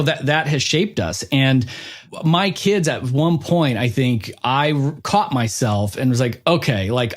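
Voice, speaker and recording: A man hosting a podcast in an informal, chatty, conversational way, as in an interview. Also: mid-range voice. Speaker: podcast host.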